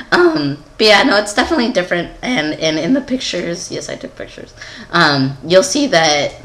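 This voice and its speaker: A man's voice: high-pitched, very animated, a little slow, fairly bright tone. A young adult man giving a casual, candid personal video update in a rambling, giggly, self-deprecating way. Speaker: vlogger.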